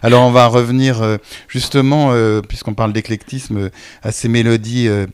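A man's voice: mid-range voice, level tone, moderate pace, smooth. A man hosting a radio interview, asking long, winding questions with many fillers.

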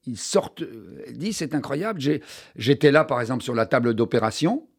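Animated older man telling a story. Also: mid-range voice, brisk, vocal fry, breathy edge. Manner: animated storyteller, many restarts, stressed key words. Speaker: author and journalist guest. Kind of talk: conversational interview.

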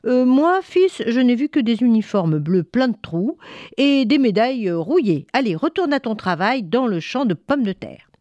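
A woman's voice: low voice, lively intonation, a little slow, mellow, no room sound, lo-fi sound. A woman storyteller voicing a protective, stubborn peasant mother, evasive and firm in manner. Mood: dismissive, firm.

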